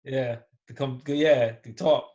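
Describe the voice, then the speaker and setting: A man's voice: mid-range voice, steady tone, takes it slowly, mellow. An American man in a casual conversation who rambles, restarts and trails off. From United States. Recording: dull lo-fi sound.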